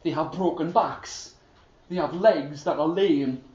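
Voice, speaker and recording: A man doing a hushed, wise talking horse. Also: fairly high pitch, a little slow, roomy sound, dull audio, lightly acted. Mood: calm.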